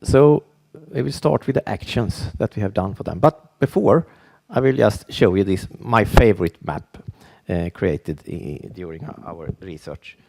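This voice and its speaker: A man with a Swedish accent gives a conference talk in English, his second language. His low voice is gravelly, and he stops and restarts his words often. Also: darkish tone. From Sweden. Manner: halting, with frequent restarts and fillers. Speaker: conference speaker. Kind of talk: conference presentation.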